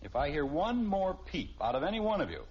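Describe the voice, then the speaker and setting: A man's voice: on the high side, very animated, a little fast, a little mellow, slightly rough. An actor playing a wry, wisecracking husband and father in an old-time radio family sitcom, with a dry, sardonic delivery. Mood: mock stern. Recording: muffled, noisy old radio recording.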